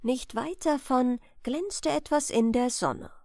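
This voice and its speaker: A woman in her thirties reading a bedtime fairy tale as an audiobook host, with unhurried, expressive storytelling, close on a studio microphone. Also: sits high, gravelly, dry recording. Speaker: audiobook reader and channel host. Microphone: large studio microphone, close.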